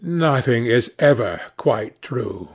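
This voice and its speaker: A man's voice: mid-range voice, expressive, takes it slowly, a little mellow, husky. A man voicing a witty, cynical aristocrat in an audiobook, with a nonchalant, pompous, epigrammatic manner. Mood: languid cynicism. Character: languid witty cynical male aristocrat, urbane and teasing. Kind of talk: audiobook character dialogue.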